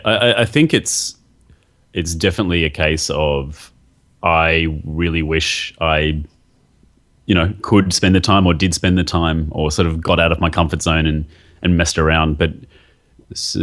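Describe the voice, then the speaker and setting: Super deep voiced guy, lots of ums and restarts, laid-back interview chat. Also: steady tone, unhurried, fairly bright tone. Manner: hesitant, many fillers and restarts. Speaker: guest, media artist. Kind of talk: casual interview.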